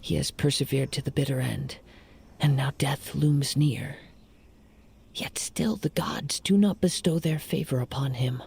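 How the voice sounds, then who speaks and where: A man playing a calm, poetic young wandering samurai in a video game, speaking in a measured, formal way. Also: medium pitch, gravelly, slight background noise. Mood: composed.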